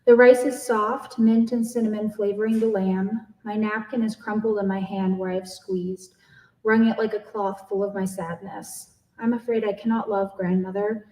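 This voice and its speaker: Mellow-voiced woman reading in an echoey room. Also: medium pitch, steady tone, normal speed. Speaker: contest winner reading own story. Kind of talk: literary story reading, expressive. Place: library event room with audience. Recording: echoey room, distant microphone.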